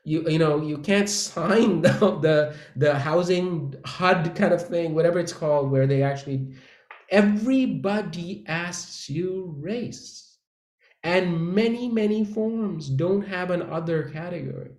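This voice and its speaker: A man speaking as a panelist in a casual discussion, halting and correcting himself as he searches for words. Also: on the high side, darkish tone. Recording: echoey room sound.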